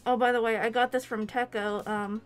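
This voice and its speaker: A woman's voice: a little high, little pitch movement, fairly quick, soft background music. A young woman chatting casually as co-host of a reaction video, speaking through a cloth mask. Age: young adult. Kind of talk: casual chat. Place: small furnished room at home, on a couch. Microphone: mic out of frame, speaking through a cloth mask.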